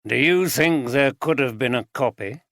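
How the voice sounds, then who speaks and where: A man voicing a calm, polite police detective who speaks in a measured way. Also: mid-range voice, no echo, clean studio sound, natural voice.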